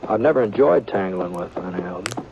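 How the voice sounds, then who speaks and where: An American actor playing an easygoing frontier gunman who also narrates, in halting, folksy radio western acting. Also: sits low, lively intonation, vocal fry. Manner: halting, folksy drawl with many hesitations. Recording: noisy dull old radio broadcast.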